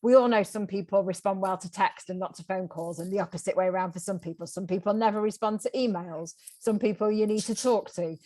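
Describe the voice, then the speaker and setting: A woman's voice: medium pitch, brisk, few pauses, mellow. A woman facilitating an online workshop, calling on speakers and explaining ideas steadily over a video call.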